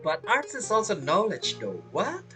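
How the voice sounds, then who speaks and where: A woman's voice: mid-range voice, huge pitch swings, moderate pace, sharp tone, soft background music. A woman doing casual gameplay commentary, chattily talking back to the game's characters. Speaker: game streamer.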